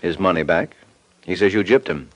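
A suave, unflappable private detective. His low voice is recorded close and dry. He delivers understated sarcasm in classic old radio mystery style. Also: normal speed, dry recording, lo-fi sound. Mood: cool, dry. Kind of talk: old-time radio detective drama acting.

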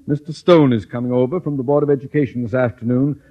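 A man's voice: mid-range voice, steady tone, fast talker, lightly acted. A man playing a pompous, scheming middle-aged school principal with formal, theatrical diction in an old-time radio comedy. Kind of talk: 1940s-50s radio comedy acting. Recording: dull old radio recording.

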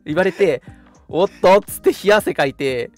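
Male, 20s, casual radio co-host with a lively, mobile pitch and faint music behind. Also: normal speed. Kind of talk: casual chat. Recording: music playing behind the talk.

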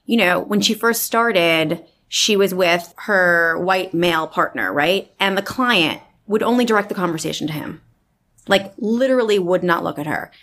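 A woman's voice: on the low side, fairly bright tone. A woman hosting an interview, asking questions and telling stories in a loose, chatty way. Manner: conversational, recounts anecdotes with fillers. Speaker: interview host. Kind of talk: interview conversation.

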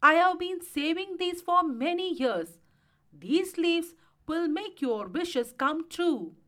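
A kindly old magical tree from a storybook, played by a woman, speaks high and slowly. It coaxes and cautions in earnest, with a lilting, lively melody. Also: heavily acted. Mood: coaxing. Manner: coaxing, earnest, deliberate.

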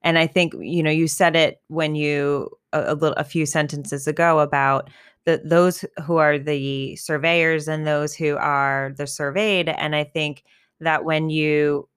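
A woman from New York City, talking earnestly as a guest in a casual conversation, with lots of fillers and restarts, her voice getting a little hoarse. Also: low-pitched, little pitch movement, unhurried, no echo, clean studio sound. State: losing her voice, coughing.

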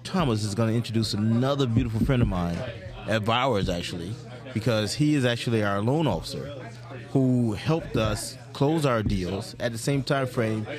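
A man with a lowish voice hosts in a crowded room, with chatter clearly heard behind him. He sounds upbeat, warmly talking up the people around him. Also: moderate pace. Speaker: co-host. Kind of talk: casual event chat.

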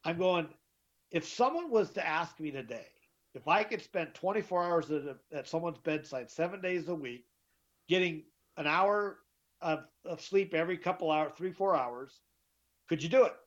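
A middle-aged man with a deeper voice, telling his story as an interview guest, emphatic and often repeating himself. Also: lively intonation, moderate pace. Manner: emphatic, repeats and restarts words. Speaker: guest, author. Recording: dull lo-fi sound.

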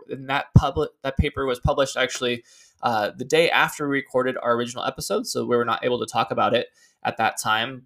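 A young man hosting a podcast. His voice is bright and forward, and he opens with an upbeat scripted welcome before settling into relaxed conversation with a friend. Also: mid-range voice. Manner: upbeat scripted intro, then relaxed chat. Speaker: podcast host. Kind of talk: casual interview podcast.

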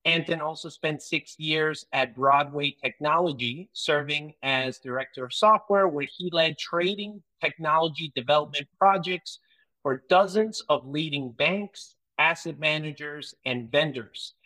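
A man's voice: a little high, unhurried, pushed and bright, rough recording. A man hosting a podcast interview, reading a guest introduction and then chatting casually and enthusiastically. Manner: enthusiastic, reads long intro then chats. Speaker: podcast host.

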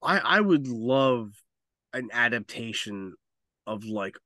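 A man with a mid-pitched voice talks casually as a podcast co-host. He gives his opinions bluntly and swears often. The sound is dry, with very little room. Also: animated, slow, pushed and bright, dry recording. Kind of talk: casual opinionated chat about games and films.

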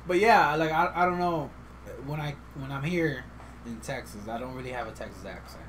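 A man's voice: medium pitch, normal speed, background noise. A young man from Iowa with a faintly Midwestern accent and a slight lisp, telling stories animatedly in casual chat and laughing often. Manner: animated storyteller, laughs often, acts out dialogue.

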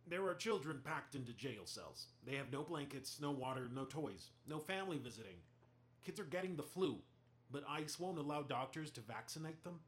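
A man's voice: mid-range voice, lively intonation, normal speed, a bit bright, close to an everyday voice. An actor playing an earnest, challenging news reporter in an audio play, pressing his questions.

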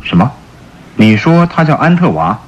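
An actor playing a stern, suspicious and stubborn police chief in a radio drama, questioning insistently in a husky voice. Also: male voice, mid-range voice, sing-song, moderate pace, lo-fi sound. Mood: polite, probing. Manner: probing, insistent, theatrical questioning.

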